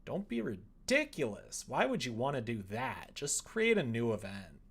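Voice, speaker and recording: Young man, livestream host, casual chat, mid-pitched voice, self-deprecating, sardonic grumbling. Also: wildly swooping pitch, moderate pace, fairly bright tone, slightly rough. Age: young adult.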